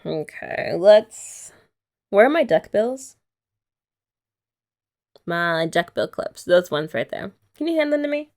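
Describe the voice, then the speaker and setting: Young woman, ASMR girlfriend roleplay, bouncy pitch, slight vocal fry, playful and teasing. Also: very animated, slow pace, pauses often, darkish tone. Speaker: ASMR creator.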